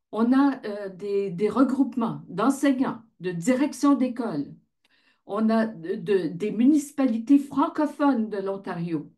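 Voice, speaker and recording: A woman's voice: on the high side, mellow. A woman giving an informal explanatory presentation, conversational, asking questions and answering them herself. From Ottawa region, Canada. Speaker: founder of a volunteer reading association, presenter.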